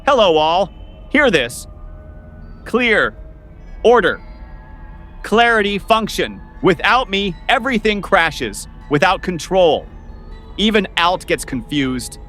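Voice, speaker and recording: A man's voice: sits high, very animated, takes it slowly, long pauses, bright, forceful tone, faint music behind, full character voice. A man voicing a stubborn, long-suffering keyboard key in a comedic puppet show, emphatic and exasperated. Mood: commanding. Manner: emphatic, exasperated, clipped declarations.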